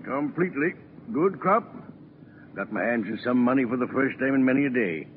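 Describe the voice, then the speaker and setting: A man's voice: medium pitch, lively intonation, fairly quick, phone-quality sound, natural voice. A man playing a contented middle-aged farmer and proud father in a 1940s radio play, folksy and clipped. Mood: pleased, proud. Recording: noisy band-limited 1940s radio.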